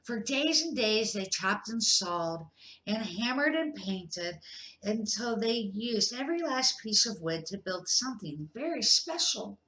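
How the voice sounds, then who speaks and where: An older woman reading a children's picture book aloud in an expressive, deliberate storytelling manner. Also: mid-range voice, rough recording. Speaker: amateur picture-book reader for grandchildren.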